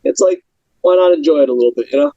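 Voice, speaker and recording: A young man, a pro boxer, talking casually and upbeat in a podcast interview, in quick animated bursts. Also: sits high, no room sound, highs cut off.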